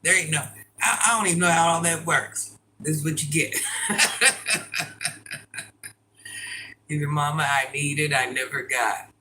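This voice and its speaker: A middle-aged woman with a deep voice, chatting casually and bluntly as she answers viewer comments in a livestream. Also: a little slow, pauses often, pushed and bright, a little hiss or hum, rough recording. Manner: blunt, sassy, talks straight to commenters.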